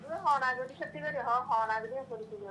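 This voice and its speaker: A woman's voice: sits high, quick pace, pushed and bright. A woman with an in a casual phone conversation, questioning and wary. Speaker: prank call recipient. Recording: distant phone voice, faint noise.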